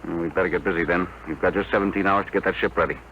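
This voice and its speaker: Brash, low-voiced rocket builder. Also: male voice, steady tone, rapid-fire. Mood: determined. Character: bold, brash male rocket builder and pilot. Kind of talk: 1950s radio acting. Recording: muffled, hissy 1950 radio broadcast.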